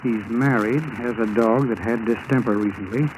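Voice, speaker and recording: A man's voice: medium pitch, moderate pace, lightly acted. An American man playing a sceptical, wry FBI agent in 1940s radio drama style, speaking in a dry, matter-of-fact way. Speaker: actor in a radio crime drama. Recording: noisy 1940s radio broadcast recording.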